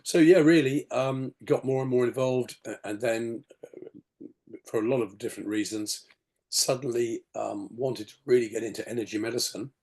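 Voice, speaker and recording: A man in his sixties with a gravelly, slightly hoarse voice, rambling through his story on a video call with many "you know"s. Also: medium pitch, moderate pace, darkish tone. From England. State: hoarse after a detox. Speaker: podcast guest. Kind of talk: casual storytelling interview.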